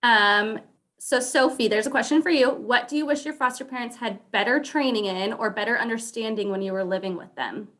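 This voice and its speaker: A woman's voice: on the high side, fairly quick, fairly bright tone. Woman panel moderator, encouraging tone, reads audience questions.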